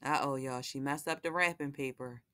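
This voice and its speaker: A woman's voice: a bass voice, fairly even intonation, normal speed, fairly bright tone, dry recording, studio quality. A woman reading a children's book aloud, with folksy, casual asides to her young listeners.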